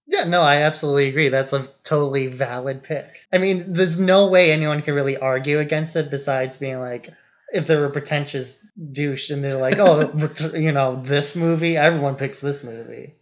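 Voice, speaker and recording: Male co-host, moderately high voice, slangy and agreeable casual film talk, slightly lo-fi, muffled recording. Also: moderate pace. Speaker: podcast co-host. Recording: dull, muffled lo-fi audio.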